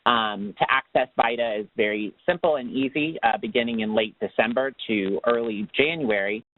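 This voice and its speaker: A man giving a semi-scripted informational webinar presentation, phrasing things formally and pausing as he goes. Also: medium pitch. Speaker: nonprofit program manager as webinar speaker. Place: remote webinar call. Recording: dull lo-fi call audio, very dry.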